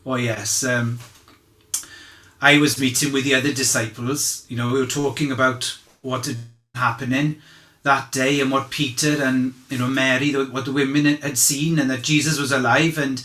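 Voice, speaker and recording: A man playing an earnest disciple testifying as an eyewitness at a dramatized inquest, speaking conversationally and haltingly as he searches for words. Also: medium pitch, fairly even intonation, pushed and bright, lightly acted. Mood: earnest, sincere. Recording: echoey room.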